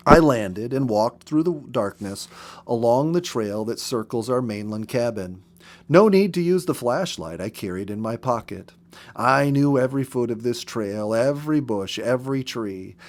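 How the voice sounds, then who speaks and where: A man with a medium-pitched voice reads a book aloud in an audiobook style. He takes his time, shaping phrases dramatically and leaving pauses between them. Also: animated. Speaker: storyteller reading a book aloud. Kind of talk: expressive narrated audiobook reading.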